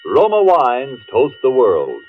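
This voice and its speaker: A man's voice: mid-range voice, wildly swooping pitch, slow. A man reading a formal, declamatory sponsor announcement in 1940s radio style. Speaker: commercial announcer. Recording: tinny old radio recording, music behind.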